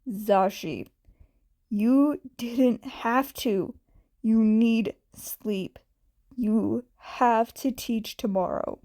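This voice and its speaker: A woman voicing a tired, gruff, worried man with terse speech in a story reading. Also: fairly high pitch, extremely slow, warm tone, no room sound, studio quality. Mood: resigned.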